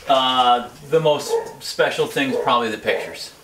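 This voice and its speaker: A man's voice: mid-range voice, a little slow. A man, a firefighter being interviewed, chatting casually and reminiscing in a workshop. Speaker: interviewee, firefighter and hobby sign maker. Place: indoor workshop. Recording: echoey room, distant mic, background noise.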